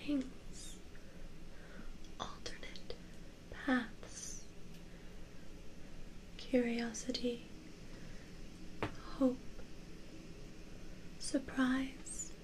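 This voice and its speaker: Casting: actress as enigmatic living tarot card, ASMR roleplay, whispered, extremely slow, cryptic, word by deliberate word. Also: female voice, high-pitched, flat delivery, low-quality recording. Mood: mysterious. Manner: halting, deliberate, cryptic, repeating words. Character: Wheel of Fortune tarot card, enigmatic mystical spirit.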